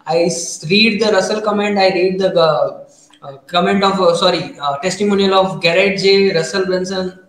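A man's voice: high voice, normal speed, fairly bright tone. A man hosting an interview in an effusive, appreciative way. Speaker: show host. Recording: roomy, echoing sound.

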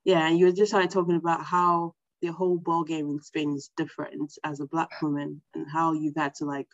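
A woman hosts a casual podcast with a lowish voice, friendly and giggly as she asks questions. The recording is dull and dry, with no room sound. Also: no echo. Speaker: podcast host.

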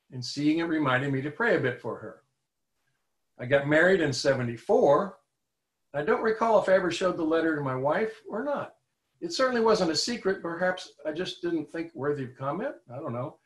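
A man in his seventies earnestly telling a personal faith story in a measured way. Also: on the high side, a bit bright. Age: 70s. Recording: roomy, echoey room.

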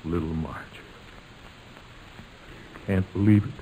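An actor playing a pompous, jovial, sentimental middle-aged uncle in a 1940s radio comedy, with a theatrical delivery. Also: male voice, low voice, fairly even intonation, slow. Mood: wistful, wounded. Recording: noisy old-time radio recording.

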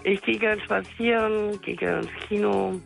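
A woman in her forties chatting casually as a radio caller, cheerful and quick to laugh. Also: medium pitch, fairly even intonation, creaky, faint music behind, low-quality recording. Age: 40s. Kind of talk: casual phone chat.

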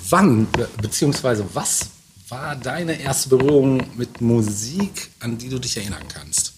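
A man's voice: mid-range voice, animated, a little slow, noisy background. A man in his forties hosting a casual podcast, rambling and hesitant with many fillers. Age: 40s. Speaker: podcast host. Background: faint music.

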